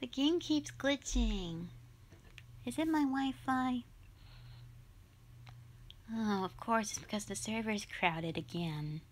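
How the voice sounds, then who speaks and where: A young woman playing a sulky, dramatic tsundere gamer girlfriend in an audio roleplay, with a whiny, pouty, drawn-out delivery. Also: a little high, expressive, slight background noise. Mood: whiny protest. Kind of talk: roleplay audio drama, intimate one-sided dialogue.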